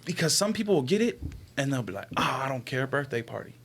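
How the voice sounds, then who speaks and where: A young man with an average-pitched voice talks casually in a group podcast. He uses slang and swears, qualifying his opinions as he goes. There is some faint noise in the recording. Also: sing-song, fast, a bit bright. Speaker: podcast co-host. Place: small indoor room, group around table. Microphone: desk podcast microphone. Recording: noisy small-room podcast recording.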